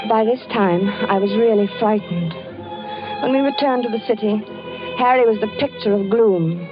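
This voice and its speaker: A woman's voice: mid-range voice, moderate pace, close to an everyday voice. An actress playing a worried wife who narrates her story in a 1940s radio drama, earnest and anxious. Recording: muffled old radio broadcast with music.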